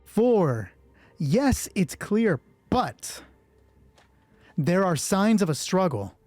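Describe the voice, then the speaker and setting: A man's voice: high voice, very animated, drawn-out words, a little mellow, dry recording, faint music behind. A man hosting a solo tabletop roleplay, improvising narration and thinking aloud as he corrects himself. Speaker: solo roleplay host and game master.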